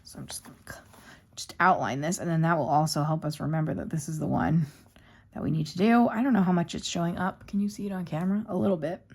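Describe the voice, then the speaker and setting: Chatty woman crafting, slightly low voice. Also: fast, darkish tone, husky. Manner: rambling, chatty, narrates while coloring. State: losing her voice. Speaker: craft YouTuber. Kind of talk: casual chatty craft vlog.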